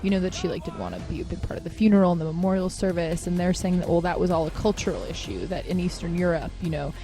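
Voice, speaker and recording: A woman's voice: fairly low pitch, dark tone. A young woman from Ukraine, a native Ukrainian speaker, chatting upbeat as a journalist guest on a podcast. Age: 20s. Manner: chatty, upbeat, quick to laugh. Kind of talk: casual podcast chat. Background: game music playing over the talk.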